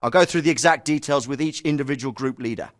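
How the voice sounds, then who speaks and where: An actor playing an authoritative captain with a clipped, commanding delivery in a military video game. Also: male voice, mid-range voice, quick pace, no room sound, clean studio sound, lightly acted. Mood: firm, briefing. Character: authoritative male Royal Navy special forces captain. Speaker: military officer, squad commander. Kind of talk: scripted military game dialogue.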